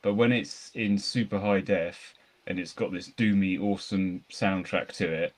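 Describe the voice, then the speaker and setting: A man's voice: low-pitched, fairly even intonation, normal speed. A man co-hosting a podcast, casually talking through films in a rambling, enthusiastic way. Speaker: podcast co-host reviewing films. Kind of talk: casual film discussion.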